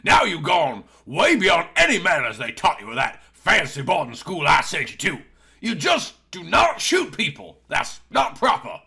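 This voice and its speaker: Male narrator as older patriarch, sniveling schemer, high wavering pitch, forceful bluster. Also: huge pitch swings, normal speed, gravelly, husky, exaggerated voice. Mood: indignant, fearful. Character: bullying, sniveling older Southern father, rich schemer.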